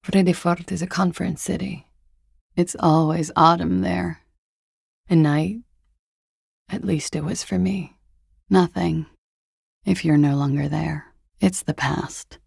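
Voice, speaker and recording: Husky, creaky, world-weary young expatriate. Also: female voice, lowish voice, fairly even intonation, slow, long pauses, a little mellow, no echo, full character voice. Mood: detached, melancholic. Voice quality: husky, gravelly. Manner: dry, languid, ironic. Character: cool, world-weary young expatriate woman, wryly teasing. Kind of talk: video game character dialogue.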